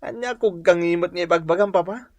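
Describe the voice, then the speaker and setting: A man with an Ilocano Filipino accent playing an eager, lovestruck young gentleman and devoted son in a radio comedy drama. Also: high-pitched, fairly quick, dry recording, lightly acted. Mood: earnest.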